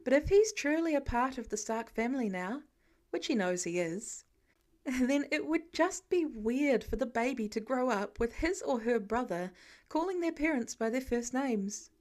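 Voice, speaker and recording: A woman with a fairly high voice narrates a written story. She reads scripted fiction in the manner of an audiobook. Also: lively intonation, normal speed, darkish tone.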